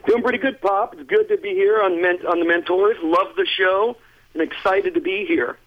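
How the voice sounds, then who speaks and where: A middle-aged man with a fairly high, hoarse voice trades jokes as a guest on a radio show. His voice comes through a little dull and muffled. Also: moderate pace. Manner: joking, playful banter.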